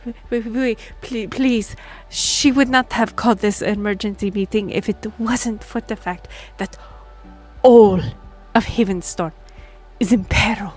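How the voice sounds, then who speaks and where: A woman's voice: mid-range voice, fairly even intonation, unhurried, darkish tone, soft background music, lightly acted. A woman playing an earnest, easily flustered young half-elf royal liaison in a fantasy audio roleplay, with a stammering, pleading manner. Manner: breathy sighs, stammering, pleading.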